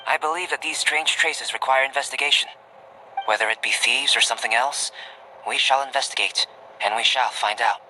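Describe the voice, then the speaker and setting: An actor playing a calm, curious young male alchemist in a video game, speaking in a measured, detached and articulate scholarly way. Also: medium pitch, slight background noise, rough recording. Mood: composed. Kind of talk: scripted video game voice acting. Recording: music behind the voice.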